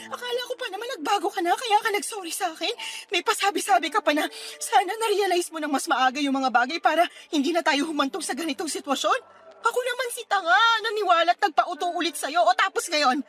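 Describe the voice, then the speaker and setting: A woman's voice: very high voice, lively intonation, quick pace, fairly bright tone. An actress with a Filipino accent playing a hurt, angry young girlfriend, confrontational and sarcastic, in a radio drama. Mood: furious.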